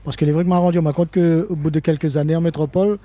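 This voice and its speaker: A man's voice: fairly high pitch, fairly even intonation, quick pace, dark tone. An older man from Réunion speaking French with a Creole-inflected accent, a musician guest in a casual live interview who rambles, restarts and laughs often.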